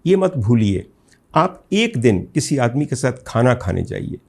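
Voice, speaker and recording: A man with a Pakistani accent giving an indignant, emphatic opinion monologue as a talk show host, full of rhetorical emphasis, in a husky, gravelly voice. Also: mid-range voice, sing-song, unhurried, a little mellow. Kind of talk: opinion monologue recounting a case to viewers.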